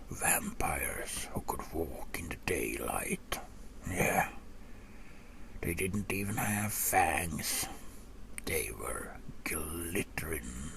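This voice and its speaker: A man playing a gruff, seasoned old vampire hunter in a softspoken ASMR roleplay, half-whispering in a folksy, rambling way to a rookie. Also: a little hiss or hum, full character voice. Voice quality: low, gravelly, breathy half-whisper. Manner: unhurried, folksy, rambling with musing pauses.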